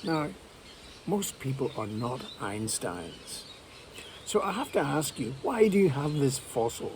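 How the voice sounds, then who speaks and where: A man gives an English lesson in a slow, measured way, laying out advice for learners. His pitch sits in the middle, with faint background noise. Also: big pitch swings. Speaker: English teacher. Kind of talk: direct-to-camera lesson.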